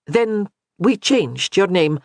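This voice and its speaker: A reader voicing a contrite young Highland clanswoman who speaks hesitantly and deferentially. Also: animated, takes it slowly, no echo. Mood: sheepish.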